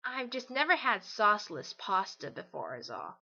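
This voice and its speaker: A woman's voice: mid-range voice, sing-song, moderate pace, vocal fry, sharp tone, lo-fi sound, full character voice. A woman voicing a brash, casual young female pegasus pony, blunt and enthusiastic, in a story reading. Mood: casual, appreciative. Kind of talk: fan audiobook character acting.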